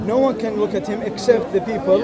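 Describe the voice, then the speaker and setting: A man's voice: sits high, fairly even intonation, brisk. A native Arabic-speaking man explaining his view in an informal debate, somewhat hesitantly. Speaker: debate participant. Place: outdoors in a busy park. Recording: loud crowd all around.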